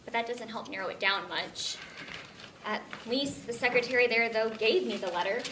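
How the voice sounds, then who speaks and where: A frustrated, insistent young woman, played by an actress in a stage play. Her voice is on the high side, heard from far off in an echoey space with clear background noise. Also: normal speed, a bit bright, lightly acted.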